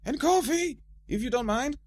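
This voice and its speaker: A man's voice: very high voice, expressive, normal speed, a little mellow, slight background noise, pitched above the performer's own voice. A man voicing hungry male dwarf guests in a storybook, eagerly calling out their orders.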